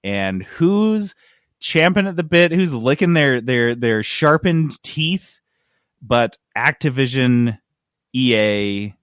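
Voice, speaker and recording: A man's voice: medium pitch, expressive, takes it slowly, a bit bright, smooth, no echo, clean studio sound. An American man hosting a casual gaming news chat, sardonic and prone to sweary outbursts. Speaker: podcast host.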